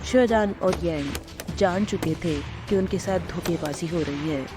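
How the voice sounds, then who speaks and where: A woman's voice: fairly low pitch, unhurried, music in the background. A woman giving scripted narration as a storyteller, explaining a dramatic tale.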